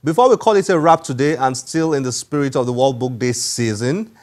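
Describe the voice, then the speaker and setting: A man presenting a book programme on television, reading scripted narration in a measured, formal way. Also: mid-range voice, a little mellow. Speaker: TV book show host.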